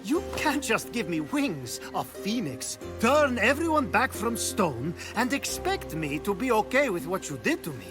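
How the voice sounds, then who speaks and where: A plucky young video game hero, earnest and fairly high-voiced. The delivery is unhurried and expressive, over clear music. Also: male voice. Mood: wary, firm. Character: plucky young mortal hero newly made a god.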